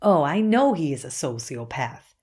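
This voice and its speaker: Actress as assured, lowish-voiced female podcast interviewee. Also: very animated, normal speed, dark tone, breathy edge, full character voice. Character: certain, accusing woman interviewed for a true-crime podcast. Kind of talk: acted documentary interview.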